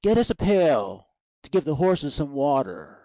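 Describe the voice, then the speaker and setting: A man's voice: on the high side, big pitch swings, unhurried, warm tone, no room sound, rough recording. A man playing a brusque, disdainful army sergeant who gives curt orders in an audiobook play. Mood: brisk, commanding. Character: brusque, commanding male Southern army sergeant, contemptuous. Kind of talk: amateur audiobook play acting.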